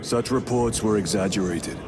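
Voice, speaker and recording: An actor playing a stoic, seasoned space soldier in a video game, formal, terse and grave. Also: male voice, fairly low pitch, normal speed, crowd noise, natural voice. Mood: composed, formal. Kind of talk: dramatic video game acting.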